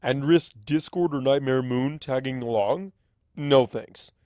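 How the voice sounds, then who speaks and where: A man voicing a weary, resolute wizard pony who is a father, speaking gravely and firmly in a story reading. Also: medium pitch, a little slow, darkish tone, no room sound, studio quality, close to an everyday voice. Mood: brisk, resigned. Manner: grave, firm.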